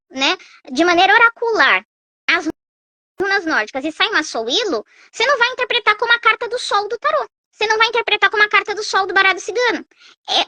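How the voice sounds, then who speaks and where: A woman's voice: very high voice, pushed and bright, no echo. A Brazilian woman, a guest oracle reader, explaining patiently on a live stream with everyday analogies and frequent tag questions. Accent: Brazilian Portuguese.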